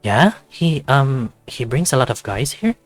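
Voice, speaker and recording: A bashful male animal protagonist of a visual novel, acted by a man. He is hesitant and easily flustered, in a mid-pitched voice with music playing underneath. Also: sing-song, measured pace. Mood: nervous curiosity. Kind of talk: visual novel character read aloud.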